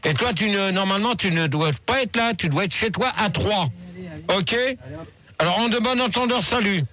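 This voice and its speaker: High, bright-voiced stammering man hosting a mock show. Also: normal speed. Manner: bossy, easily offended, stammering and repeating words. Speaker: host of a parody radio debate. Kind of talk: comedic mock radio phone-in.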